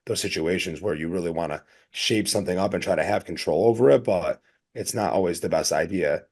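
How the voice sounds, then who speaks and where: A man with a fairly low voice speaks as a podcast co-host. His delivery is casual and earnest, wandering along in a loose, anecdotal, conversational flow. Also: brisk, darkish tone, slightly rough.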